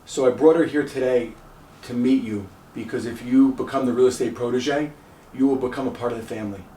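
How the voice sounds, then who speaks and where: A man hosting the show, speaking earnestly and deliberately to a contestant. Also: mid-range voice, steady tone, dark tone, slight background noise. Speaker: show host. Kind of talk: earnest personal speech. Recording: roomy sound from a distance.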